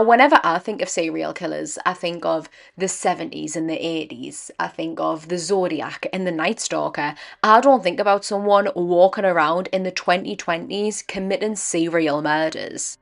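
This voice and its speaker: A young woman narrates a true crime case in an earnest, measured way, stressing her words. Her voice is croaky and dry from a cold, with a gravelly edge. It sits on the low side for a woman. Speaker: true crime podcast host.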